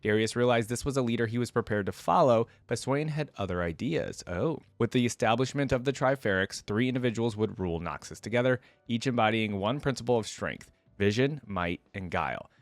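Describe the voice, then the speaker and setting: A man's voice: mid-range voice, expressive, brisk, hardly any pauses, dry recording. Man doing casual reaction commentary, dry sound. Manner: informal asides while reading. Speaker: YouTube reaction host. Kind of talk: casual reaction commentary, partly reading lore aloud.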